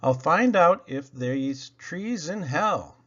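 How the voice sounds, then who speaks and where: A man's voice: on the high side, lively intonation, takes it slowly, a bit bright, highs cut off, exaggerated voice. A man voicing a giant, tough old lumberjack from a storybook poem, boastful and folksy with a backwoods drawl. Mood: bold.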